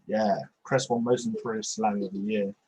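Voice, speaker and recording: Husky young man on headset. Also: on the low side, fairly even intonation, moderate pace, darkish tone, low-quality recording. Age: 20s. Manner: rambling, many restarts and fillers. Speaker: podcast host. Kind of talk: casual video-call chat. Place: small bedroom. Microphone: headset on video call.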